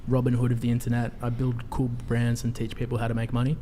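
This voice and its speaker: A man's voice: mid-range voice, monotone, fast talker, mellow, slight background noise. A man in his thirties, a panel guest playfully reading out an ad script for his own brand. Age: 30s. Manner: playful salesman, jokey read of an ad script.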